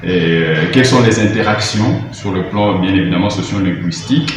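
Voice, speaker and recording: A man's voice: low-pitched, fairly quick, background noise. A man speaking as a linguist at a conference, earnest and hesitant with many fillers. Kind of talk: interview remarks. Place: hall at a museum conference. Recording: roomy echo from far off mic.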